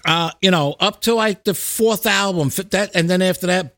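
Casting: middle-aged male podcast host, fairly high voice, dry close recording, blunt and foul-mouthed in casual, emphatic chat. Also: normal speed, fairly bright tone, no room sound. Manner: profane, blunt, opinionated, emphatic.

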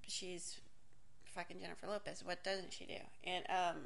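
A woman's voice: fairly low pitch, level tone, moderate pace, creaky, fairly bright tone. A woman in her forties from Miami telling a candid personal story, rambling and self-interrupting, swearing casually and laughing often. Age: 40s.

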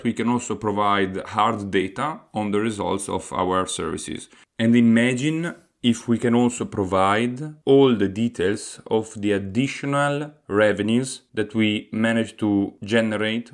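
Italian-accented man, low voice, earnest. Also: a little slow, few pauses. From Italy. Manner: earnest, explanatory, with frequent hesitant fillers. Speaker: podcast host. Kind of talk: solo podcast monologue.

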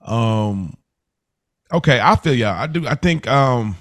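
A man's voice: mid-range voice, expressive, slow pace, fairly bright tone, no echo. An American man hosting a casual TV discussion podcast, talking colloquially, thinking aloud and checking in with his listeners. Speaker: podcast host.

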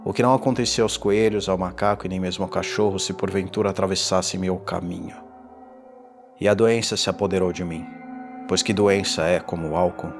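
A man playing a tormented condemned man in a gothic horror audio drama, confessing in a formal, theatrical and deliberate delivery. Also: sits low, steady tone, gravelly. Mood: dark, remorseful. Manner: theatrical, deliberate, ornate diction. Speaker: narrator. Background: music.

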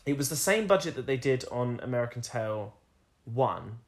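A man with a croaky voice, co-hosting a casual film review podcast and rambling through anecdotes with frequent ums and laughs. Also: mid-range voice, animated, normal speed. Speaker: podcast co-host.

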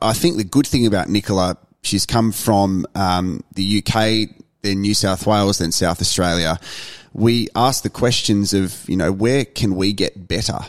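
A man co-hosting a podcast, talking in an enthusiastic, conversational way that rambles slightly. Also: lowish voice. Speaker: podcast co-host. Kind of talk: casual podcast chat.

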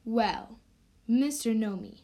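A woman's voice: fairly high pitch, slow pace, mellow, voice pushed down. A vain young man of a magical town in an amateur radio play, acted by a woman, smug and commanding. He takes his time with every line, sure of himself. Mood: patient, explaining. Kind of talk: amateur radio drama acting.

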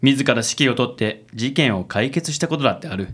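An actor playing a suave, affected, good-natured company president in a radio drama. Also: male voice, medium pitch, lively intonation, a little fast, slightly rough. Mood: suave, assured. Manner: suave, theatrical, gentlemanly.

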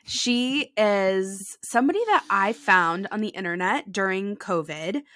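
A woman's voice: medium pitch, measured pace, fairly bright tone, dry recording, clean studio sound. A woman hosting a podcast, talking in a chatty, upbeat way with lots of fillers. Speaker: podcast host. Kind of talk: casual podcast chat.

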